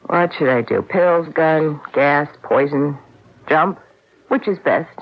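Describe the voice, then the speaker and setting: A woman's voice: low voice, slow. An actress playing a witty, insecure wife in a radio drama, teasing and dramatic in her delivery. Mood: sardonic, jealous. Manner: wry, dramatic, affectionate teasing. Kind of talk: old-time radio drama acting. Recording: muffled vintage radio drama.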